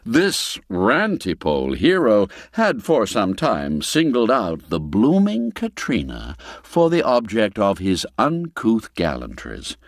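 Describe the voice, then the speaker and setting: A man narrating a classic literary story in a measured, deliberate and expressive storytelling manner. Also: fairly low pitch, no room sound, clean studio sound.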